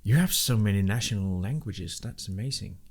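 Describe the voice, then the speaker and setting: A man chats loosely on his live stream. His voice sits low with a gravelly rasp. He wanders through thoughts with lots of ums and restarts, reading viewers' messages aloud. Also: a little fast, darkish tone. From Denmark. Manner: rambling, with many fillers and restarts. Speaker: live-stream programming host.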